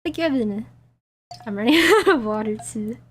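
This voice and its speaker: A woman streamer with a hoarse, tiring voice, giggling and chatting casually with crude jokes as she plays. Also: a little high, expressive, moderate pace. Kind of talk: casual gaming stream chat.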